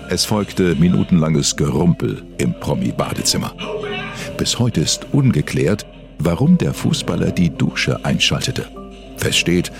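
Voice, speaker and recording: A man's voice: very deep voice, vocal fry. A man presenting an investigative documentary, reading scripted narration. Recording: music underneath. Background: background music.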